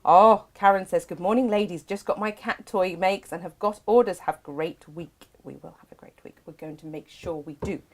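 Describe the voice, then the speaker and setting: A loud, bubbly woman co-hosting a live craft shopping show, chatting and laughing often. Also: fairly low pitch, normal speed, few pauses.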